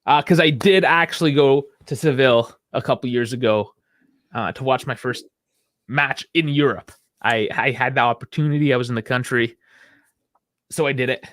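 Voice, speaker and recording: A man's voice: medium pitch, normal speed, a bit bright, dry recording. A man in the middle of his range chats loosely as a podcast co-host, rambling between points and laughing often. His voice sits dry and close, with no room sound around it. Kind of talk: casual sports chat.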